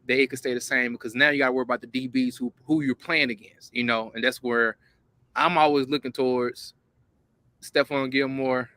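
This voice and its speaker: A man's voice: medium pitch, steady tone, a little fast, pushed and bright, no room sound. A man co-hosting a sports call-in show, talking in casual, emphatic bursts.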